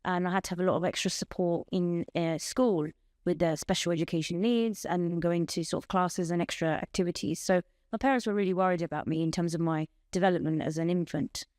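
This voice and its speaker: A woman in her thirties, born in Bangladesh with Bengali as her first language, speaking as a podcast guest in an earnest, measured way and telling her story in long lists. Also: fairly low pitch, fairly even intonation, a little mellow, no echo, clean studio sound. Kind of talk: personal testimony in an interview.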